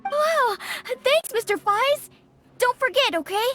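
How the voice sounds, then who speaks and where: A woman playing an excitable, innocent little child with a squeaky voice in an anime video game. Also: slow pace, faint music behind, exaggerated voice. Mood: delighted.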